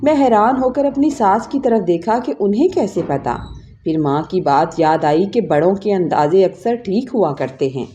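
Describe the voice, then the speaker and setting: A woman's voice: mid-range voice, lively intonation, dark tone, a little hiss or hum. A woman narrating a scripted first-person story in an emotive storytelling manner.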